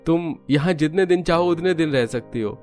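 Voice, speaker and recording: A man's voice: on the high side, normal speed, darkish tone, faint music behind, voice pushed up, close to an everyday voice. A man voicing a caring, worried young brother in a cartoon story, speaking earnestly and emotionally. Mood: affectionate.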